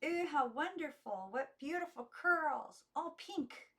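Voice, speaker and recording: A woman voicing naive, awestruck young girls in a storybook reading, with gushing, drawn-out exclamations. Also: high-pitched, expressive, pushed and bright, pitched above the performer's own voice, full character voice. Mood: awed delight. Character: chorus of naive, wide-eyed, awestruck imaginary young girls.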